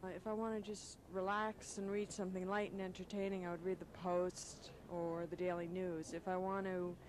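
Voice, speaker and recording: A young woman speaks casually in an even, slightly low voice. It is an outdoor street interview with noticeable traffic noise. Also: moderate pace. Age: young adult. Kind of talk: casual vox pop answer. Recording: noisy street tape with traffic.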